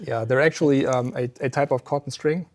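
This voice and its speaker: A man giving an informal technical explanation of a product as a company representative, measured and explanatory, pausing between phrases. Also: medium pitch, steady tone. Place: exhibit room at an audio trade show.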